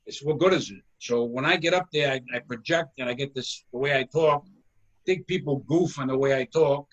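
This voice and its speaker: A man with a strong Rhode Island accent hosting a casual comedy video chat, loud and projecting, laughing easily. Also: mid-range voice, moderate pace, highs cut off. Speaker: comedy show host. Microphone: video call.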